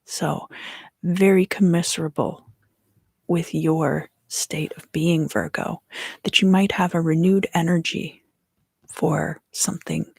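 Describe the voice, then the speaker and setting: A woman giving an ASMR tarot reading in a soft, unhurried whisper. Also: on the low side, creaky, breathy edge, no echo. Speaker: tarot reader and ASMR host.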